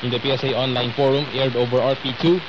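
A man's voice: medium pitch, flat delivery, fast talker. A man with a Filipino accent reading sports news as a radio anchor. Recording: dull AM radio reception, loud static. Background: loud radio static.

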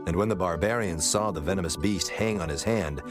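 Very deep male scripture narrator over music. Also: a little fast.

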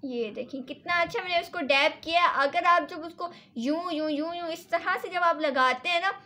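High-pitched woman, casual hands-on review, instructive, repeats herself, sprinkles in English words. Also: normal speed, sharp tone. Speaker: beauty vlogger and salon owner.

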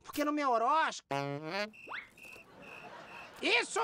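Excitable young male cartoon bread slice, Brazilian, gullible, dramatic, with bright, swooping delivery. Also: high voice, takes it slowly, slight background noise, heavily acted. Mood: worried. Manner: dramatic, emphatic. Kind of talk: animated cartoon dialogue.

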